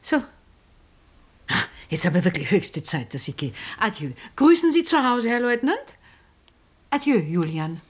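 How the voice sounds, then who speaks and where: An actress playing a lively, teasing woman in a 1960s German radio play, breezy and expressive. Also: medium pitch, measured pace, long pauses, husky. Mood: brisk farewell.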